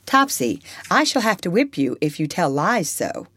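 A woman's voice: on the low side, very animated, normal speed, fairly bright tone, no room sound, heavily acted. A woman voicing a strict, prim middle-aged aunt who scolds and exclaims as she reads a storybook aloud. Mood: scolding. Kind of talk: storybook reading.

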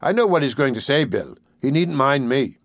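A man's voice: mid-range voice, big pitch swings, moderate pace, dry recording, clean studio sound, pitched above the performer's own voice. A man voicing a composed, defiant young woman from a criminal gang in an audiobook. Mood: coolly defiant. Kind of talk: audiobook character voice.

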